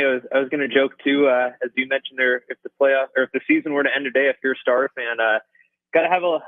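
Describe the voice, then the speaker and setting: A man's voice: medium pitch, dry recording. A man speaking as a guest hockey reporter in a casual sports radio interview over a phone line, with hesitant phrasing and repeated verbal tags. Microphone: phone call.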